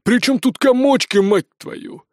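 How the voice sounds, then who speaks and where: A man voicing a crude, mocking bandit leader with a booming, threatening manner in a fantasy audiobook. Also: unhurried, a little mellow, dry recording, studio quality. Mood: angry. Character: gruff menacing male bandit chief, crude and mocking.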